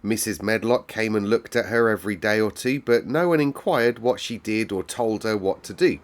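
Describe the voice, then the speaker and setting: Low-voiced man reading a storybook. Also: normal speed. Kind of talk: scripted storybook narration.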